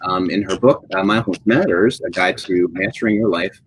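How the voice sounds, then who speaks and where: A man moderating an online author interview, speaking with halting, hesitant phrasing. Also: deep voice, husky, rough recording. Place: live online video event.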